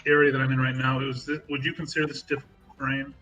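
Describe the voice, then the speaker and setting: A man's voice: mid-range voice, little pitch movement, quick pace, pushed and bright, highs cut off, soft background music. A man playing a tabletop role-playing game, thinking aloud about tactics and describing his character's attacks. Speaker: player. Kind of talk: casual play over voice chat.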